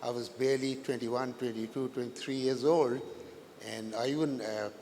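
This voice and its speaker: An older man from Pakistan, a retired pilot speaking as a guest on stage, talking haltingly with many fillers and restarts. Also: mid-range voice, fairly even intonation, a little mellow. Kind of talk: halting live stage interview. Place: large event hall. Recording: long hall reverb, faint noise.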